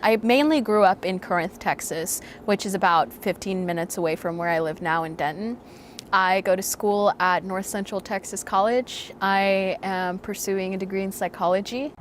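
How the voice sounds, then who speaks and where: A young woman from Mexico telling her story in a measured, reflective way as a documentary subject. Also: medium pitch, a little hiss or hum. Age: young adult. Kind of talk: interview narration.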